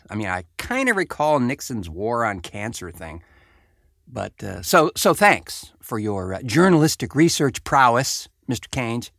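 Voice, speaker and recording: A man's voice: medium pitch, fairly bright tone, dry recording, studio quality. A middle-aged American man hosting a casual comedy podcast, with a wry, wordy, mock-grandiose way of talking. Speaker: podcast host.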